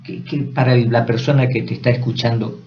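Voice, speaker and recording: A man co-hosting a church program, asking guests questions in an expressive way. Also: medium pitch, fairly quick, faint music behind. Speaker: co-host. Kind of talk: church radio interview. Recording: dull lo-fi sound.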